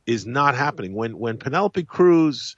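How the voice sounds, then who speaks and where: A man talks with a very lively, swinging pitch, hammering his points home by repeating them. The sound is dry and a little dull, with no room in it. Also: moderate pace, dry recording. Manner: emphatic, repeats phrases for stress. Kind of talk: casual chat.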